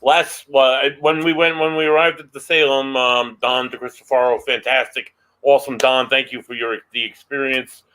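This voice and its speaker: A man co-hosting a paranormal podcast, recounting an investigation earnestly with halting restarts. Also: mid-range voice, fairly even intonation, bright, forceful tone, clean tone, low-quality recording. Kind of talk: casual chat.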